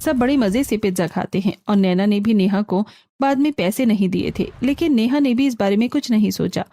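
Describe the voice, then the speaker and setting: A woman's voice: medium pitch, fairly quick, mellow. A woman reading scripted narration for a cartoon family story.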